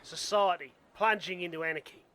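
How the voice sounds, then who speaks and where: A man's voice: sits high, brisk, pushed and bright, dry recording. A man playing an ominous, self-assured doom-mongering passenger in an amateur radio play. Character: doom-mongering know-it-all male passenger predicting anarchy.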